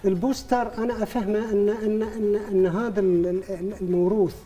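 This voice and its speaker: A man, an actor in an interview, speaking haltingly and searching for words. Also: high-pitched, steady tone, warm tone, faint music behind. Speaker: actor guest. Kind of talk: casual interview talk.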